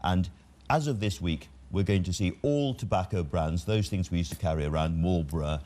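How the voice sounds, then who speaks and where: Deep-voiced man hosting a studio chat. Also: dark tone. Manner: brisk, steering the conversation. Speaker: television host. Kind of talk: casual studio discussion. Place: television studio.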